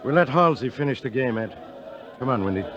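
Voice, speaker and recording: An American man acting a brisk, bossy baseball manager in a 1940s-style radio drama. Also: mid-range voice, expressive, dull audio, natural voice. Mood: stern. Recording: noisy vintage radio recording.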